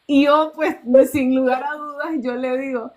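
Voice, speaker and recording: A woman's voice: high voice, fairly even intonation, moderate pace. A Nicaraguan woman in her thirties, telling a personal testimony in an earnest way and acting out the dialogue she quotes. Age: 30s. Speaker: guest.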